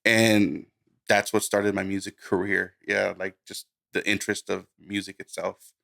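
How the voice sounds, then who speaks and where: Guy music producer from guatemala, raised in the us, low voice w lots of fry, casual halting interview chat. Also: flat delivery, a bit bright. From born in Guatemala, raised in the United States. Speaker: music producer, podcast guest.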